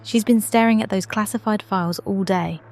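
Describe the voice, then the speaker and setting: A woman acting as a young lieutenant aboard a space station. She records her log in a measured, reflective way, modest and dutiful, with an ordinary mid-range voice in audio drama style. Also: medium pitch, a little mellow, close to an everyday voice.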